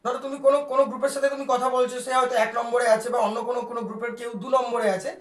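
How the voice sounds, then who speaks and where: A woman's voice: fairly high pitch, little pitch movement, rapid-fire. A woman giving a casual, chatty unboxing commentary, speaking straight to viewers with English words mixed in. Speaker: vlogger presenting a product. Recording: echoey room sound.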